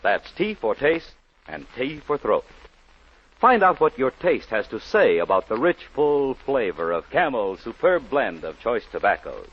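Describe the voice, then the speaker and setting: A man's voice: mid-range voice, sing-song, measured pace, breathy edge. A man reading a scripted 1940s radio advertisement as a commercial announcer. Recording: muffled 1940s radio recording.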